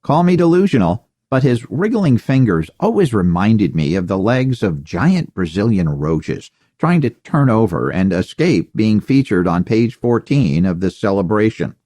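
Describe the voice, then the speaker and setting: A man reads first-person fiction in a low voice with lively, varied intonation. The style is wry audiobook narration, recorded dry and close. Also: unhurried, few pauses, no room sound.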